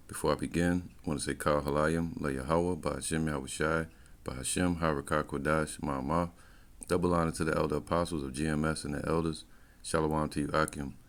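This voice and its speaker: An American man giving informal religious teaching and commentary as he reads, in halting short phrases. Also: very deep voice. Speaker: religious camp teacher and presenter.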